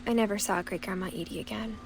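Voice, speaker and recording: An actress playing a reflective, grieving young woman who narrates a video game in a quiet, measured way. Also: medium pitch, fairly even intonation, gravelly, background noise, natural voice. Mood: reflective, sad. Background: game music.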